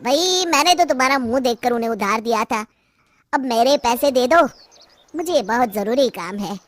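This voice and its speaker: A woman's voice: a little high, animated, normal speed, natural voice. A woman voicing an insistent male shopkeeper in a cartoon story. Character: insistent male shopkeeper demanding his money.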